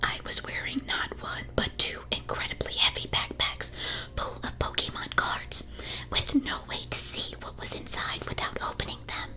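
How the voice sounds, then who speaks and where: A woman streamer reading aloud in a breathy ASMR whisper close to the microphone, with a playful, casual delivery. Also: normal speed, bright, forceful tone. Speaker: streamer host reading listener confessions.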